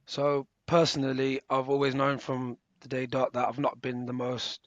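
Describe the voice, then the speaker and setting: A young man in his twenties answers interview questions about his apprenticeship in a relaxed, informal manner, often restarting his words. He speaks in a flat tone with little pitch movement, and the recording is dry and somewhat dull. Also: normal speed, dry recording. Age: 20s. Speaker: apprentice guest.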